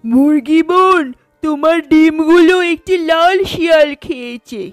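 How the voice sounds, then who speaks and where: A helpful, determined little mouse from a children's cartoon, put on by the narrator, high-pitched and very slow. Each word is deliberate, with faint music behind. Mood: informing.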